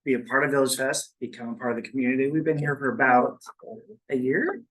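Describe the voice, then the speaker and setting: A man from Seattle, a food vendor, presenting his business to a city board in a relaxed, explanatory way with frequent "um" fillers. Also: mid-range voice, quick pace, rough recording. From Seattle, USA. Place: city board meeting room.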